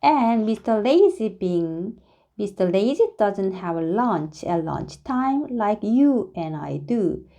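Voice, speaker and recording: A woman reads a storybook aloud to young listeners. Her voice is soft-edged and mellow, with very animated pitch. She takes her time, shaping each phrase deliberately and dramatically. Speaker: storybook reader. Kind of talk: expressive read-aloud of a children's story.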